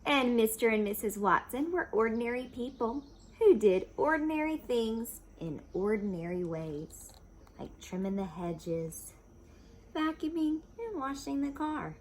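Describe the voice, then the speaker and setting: A woman reading a children's storybook aloud expressively, pausing to explain things simply for young listeners. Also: fairly high pitch, very animated, slight background noise.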